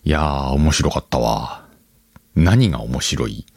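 Very deep-voiced man chatting casually. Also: lively intonation, slow, vocal fry, husky, no room sound, studio quality. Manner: conversational, thinks aloud, addresses listeners directly. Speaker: podcast host. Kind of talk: casual solo chat to listeners. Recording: background music under the voice.

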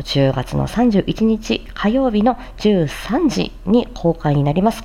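Expressive woman, mellow and fairly low. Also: creaky. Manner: theatrical, deliberate enunciation when reciting; polite, chatty asides to viewers. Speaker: voice actor hosting a tongue-twister challenge. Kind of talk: tongue-twister recitation mixed with casual commentary.

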